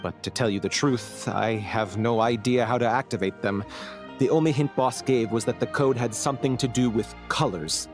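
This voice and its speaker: A man's voice: medium pitch, normal speed, close to an everyday voice. A man voicing a nervous male go-between turned double agent in a video game, who explains at length in a hesitant, slightly uneasy way. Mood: explaining. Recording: game audio with faint music underneath.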